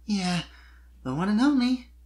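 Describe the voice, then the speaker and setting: A narrator voicing a sweet, shy young mare pony who speaks softly and a little hesitantly in a fan fiction reading. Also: mid-range voice, big pitch swings, unhurried, pitched above the performer's own voice. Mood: cheerful, shy. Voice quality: soft voice.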